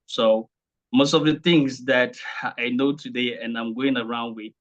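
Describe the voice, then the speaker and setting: A young adult man with a Cameroonian accent, presenting informally to an online group in a deliberate, halting way with repeated words. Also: mid-range voice, fairly even intonation, muffled recording. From Cameroon, English-speaking region. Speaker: speaker sharing testimonial at online training.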